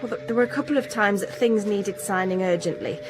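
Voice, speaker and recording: A woman's voice: mid-range voice, a little fast, music in the background, natural voice, poor audio. A woman acting an indignant, defensive academic under suspicion in a radio drama, with a pleading manner. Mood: defensive, confessing. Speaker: actor.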